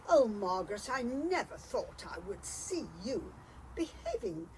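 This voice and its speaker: Woman acting a fussy, animated British prude. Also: high voice, measured pace, rough recording. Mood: shocked, disapproving. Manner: fussy, scandalised. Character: disapproving, prudish older club lady, fussy and scolding. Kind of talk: amateur radio comedy acting. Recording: faint background noise, home recording.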